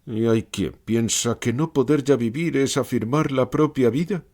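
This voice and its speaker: A man playing a dead Romanian philosopher who gives slow, solemn and dryly weary answers in a dramatized interview. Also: medium pitch, a little mellow, lightly acted. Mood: grave, anguished.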